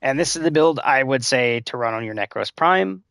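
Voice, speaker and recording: A slightly hoarse man chatting through a live gameplay stream at a middling pitch, explaining builds in a loose, rambling way. His voice is dry and close, with no room sound behind it. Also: animated, normal speed, a bit bright, dry recording. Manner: rambling, filler-heavy advice-giving. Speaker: game streamer.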